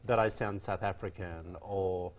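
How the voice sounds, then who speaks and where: A woman with a soft Australian accent co-hosting a casual daytime talk show, chatty and quick to laugh, stretching out words for effect. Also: rumbling low, fairly even intonation. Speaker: co-host. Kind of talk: casual chat.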